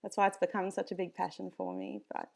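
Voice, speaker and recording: A woman's voice: mid-range voice, little pitch movement, quick pace, vocal fry, darkish tone. A woman with a South African accent, a dog breeder chatting casually as a podcast guest, with frequent ums and easy laughs.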